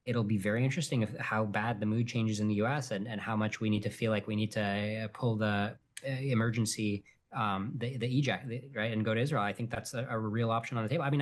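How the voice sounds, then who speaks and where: A man talking quickly as a podcast guest, his voice sitting in an ordinary middle range. He hedges a lot and fills his thoughts with ums and uhs. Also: medium pitch. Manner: rapid, hedging, many fillers. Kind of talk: casual interview chat.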